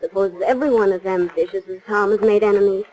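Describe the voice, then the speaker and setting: A woman's voice: medium pitch, steady tone, fast talker, darkish tone, close to an everyday voice, low-quality recording. An actress playing a reserved, subdued working woman who answers tersely, in 1940s radio drama style. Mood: guarded, somber. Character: guarded, subdued working woman, switchboard operator. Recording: remastered 1940s radio recording.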